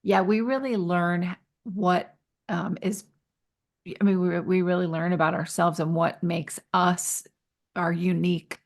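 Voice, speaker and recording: A woman hosting a podcast interview, chatty and quick with asides, laughing easily. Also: lowish voice, steady tone. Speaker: podcast host. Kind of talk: casual interview chat.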